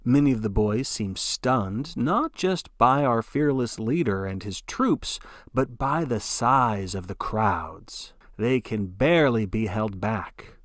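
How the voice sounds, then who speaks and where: Man playing a slow, swooping dystopian sports broadcaster. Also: lowish voice, animated, no echo, studio quality. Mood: dramatic. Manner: theatrical, reverent, grandiose. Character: excitable, fawning male dystopian sports broadcaster. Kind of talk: play-by-play sports commentary.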